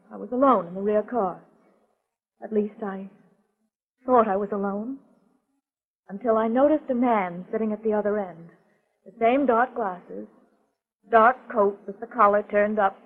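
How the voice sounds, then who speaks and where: A woman's voice: medium pitch, slightly rough. An actress playing a frightened but composed woman, telling her story earnestly and hesitantly in a 1940s radio mystery. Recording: muffled, tinny 1940s radio recording.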